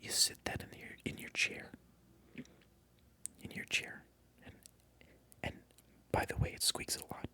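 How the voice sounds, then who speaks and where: A man's voice: measured pace, pauses often, pushed and bright. A man whispering through a comedic ASMR role-play, mock-indignant and repeating his phrases over and over. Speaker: ASMR creator.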